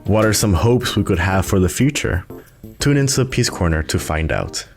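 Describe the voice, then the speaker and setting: A man's voice: low-pitched, animated, moderate pace, faint music behind. A young man from Nicaragua hosting a podcast, introducing it and putting questions to his guest. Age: young adult. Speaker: podcast host and interviewer.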